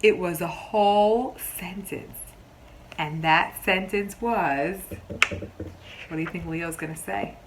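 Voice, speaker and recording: A woman teacher reading a picture book aloud to young children, expressively, pausing to explain simply and ask questions. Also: fairly low pitch, noisy background. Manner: expressive storybook delivery, explains simply, pauses to question listeners.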